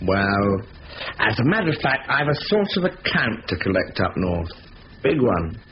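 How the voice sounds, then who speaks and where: An actor playing a brash small-time crook in a radio drama, talking in a blunt, colloquial way. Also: male voice, fairly low pitch, sing-song, normal speed. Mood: smooth, cajoling. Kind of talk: 1970s radio drama acting. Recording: dull, hissy old radio broadcast.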